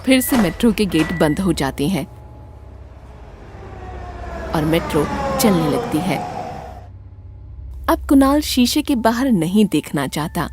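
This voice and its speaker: A woman's voice: lowish voice, very animated, slow pace, pauses often, background noise. A woman narrating a scripted horror story as a dramatic storyteller.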